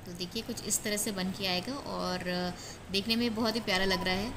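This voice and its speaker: Female craft tutorial host, Indian accent, mid-pitched, casual explanatory delivery, prominent plucked guitar music underneath. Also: fairly even intonation, normal speed, low-quality recording. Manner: explanatory, mixes English words into speech.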